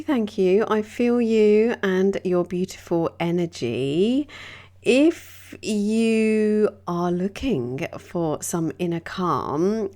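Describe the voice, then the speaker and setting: A woman hosting a wellbeing podcast, speaking gently with a slightly croaky voice. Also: fairly low pitch. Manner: soft, gentle, unhurried delivery with drawn-out phrases. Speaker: yoga and wellbeing podcast host. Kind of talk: reflective wellbeing talk.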